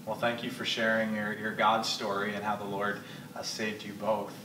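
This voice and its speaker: A man's voice: lowish voice, level tone, moderate pace. A man in his thirties interviewing guests at church, friendly, laughing often and restarting his questions. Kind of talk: casual interview. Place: church lounge with sofas, indoors. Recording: roomy echo, distant mic, clear hiss.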